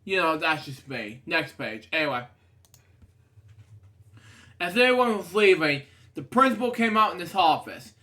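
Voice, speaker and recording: A man with a notably high voice and a bright, forward tone reads a children's storybook aloud. His delivery is casual and unpolished, and he drifts from the text into rambling side remarks. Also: sing-song, normal speed, pauses often. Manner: rambling, digressive, often breaking off to comment. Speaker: storybook reader and show host. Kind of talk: casual read-aloud of a children's picture book with rambling asides.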